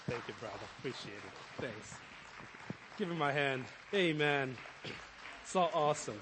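A man, the host pastor, introducing the guest speaker, speaking haltingly with many restarts. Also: medium pitch, lively intonation, a crowd in the background, noisy background. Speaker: pastor hosting the service. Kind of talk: church introduction. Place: church sanctuary. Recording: long reverb of a church hall.

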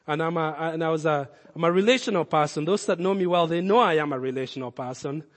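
A Kenyan-accented male pastor preaching in a high-pitched voice, pressing hard on his points and then dropping low and close. His words ring out with a long reverb from the church hall around him. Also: fast talker. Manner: impassioned preaching, rising loud then dropping low and intimate. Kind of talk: sermon.

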